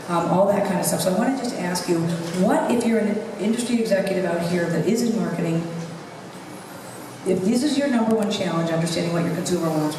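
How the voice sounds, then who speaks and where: Recruiter lady running the panel, lowish voice, noisy boomy room. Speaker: moderator and recruiter. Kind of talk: panel moderation. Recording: echoey hall from far off, noisy.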